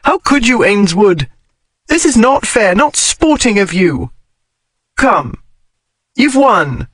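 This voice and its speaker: A man voicing a headstrong young lady, high and strained. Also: very animated, slow pace, a bit bright, dry recording, clean studio sound, exaggerated voice. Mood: desperate pleading. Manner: high, strained, pleading and scolding. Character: fiery young Regency lady, headstrong and distraught.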